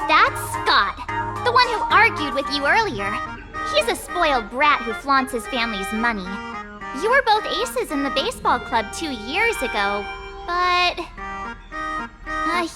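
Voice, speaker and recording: High, animated schoolgirl classmate. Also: measured pace, poor audio. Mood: chatty, explaining. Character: chatty, animated schoolgirl classmate, friendly and gossipy. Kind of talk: anime-style video game voice acting. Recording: music behind the voice. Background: game music.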